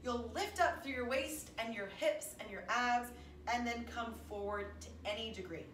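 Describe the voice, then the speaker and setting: Bright-voiced woman, roomy distant recording. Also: on the high side, normal speed. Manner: chatty, encouraging. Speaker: fitness instructor. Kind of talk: casual guided stretching workout instruction. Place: living room at home. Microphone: camera a few meters away. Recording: roomy, distant mic, faint room noise.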